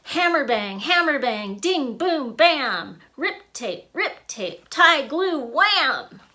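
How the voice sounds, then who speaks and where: Female storybook reader, high and very animated, unhurried delivery, expressive with rhymes and sound effects. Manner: expressive, emphatic sound words. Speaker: author and illustrator reading own book. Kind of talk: read-aloud of rhyming children's picture book.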